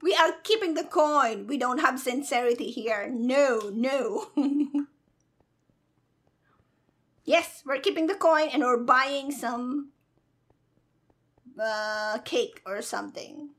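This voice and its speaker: A woman streamer commenting playfully over a game in a very high-pitched voice. She takes her time with the words, sounding giggly and sassy, and her tone is bright and sharp. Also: takes it slowly, pauses often. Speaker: gaming streamer. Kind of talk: casual playful gameplay commentary.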